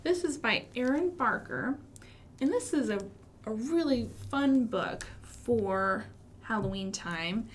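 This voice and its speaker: American woman, storytime host for small children. Fairly high voice, expressive, encouraging, slight background noise. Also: slow. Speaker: children's librarian hosting storytime. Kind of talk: interactive children's storytime with songs and finger rhymes. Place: live online stream for a library.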